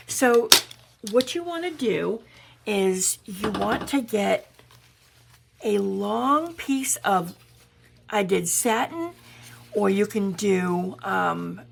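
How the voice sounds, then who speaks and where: A woman from Georgia, hoarse and raspy from pollen, chatting her way through a crafting tutorial as a channel host. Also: mid-range voice, a bit bright, slight background noise. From Georgia, USA. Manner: chatty, meandering, explaining while crafting.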